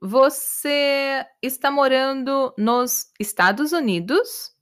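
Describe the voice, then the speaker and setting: Woman, language lesson host, slow deliberate questions, fairly high voice. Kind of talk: scripted question-and-answer teaching story.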